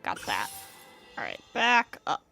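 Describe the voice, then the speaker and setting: A woman giving casual, unhurried commentary on a gaming stream, thinking aloud as she goes. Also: a bass voice, wildly swooping pitch, creaky, sharp tone. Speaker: livestreamer. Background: game music.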